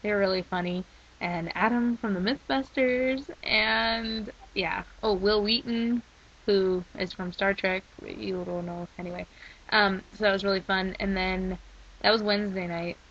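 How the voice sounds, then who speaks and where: A young woman rambling in a casual video diary, her voice worn from a week of shouting. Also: mid-range voice, steady tone, measured pace. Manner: chatty and rambling. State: losing her voice. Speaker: vlogger. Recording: slightly dull lo-fi recording.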